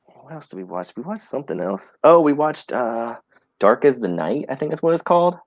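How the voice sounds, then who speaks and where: A man's voice: mid-range voice, big pitch swings, a little fast, dry recording. A man chatting casually about films as co-host of a live stream.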